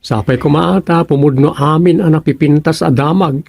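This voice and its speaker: A man plays a talkative village schemer in a radio comedy, speaking colloquial Ilocano-accented lines. The voice sits a little high, husky and full of gravel. The sound is close and dry. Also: steady tone, normal speed, darkish tone, no echo. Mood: eager, chatty. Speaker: radio drama actor. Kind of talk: comedic radio serial dialogue.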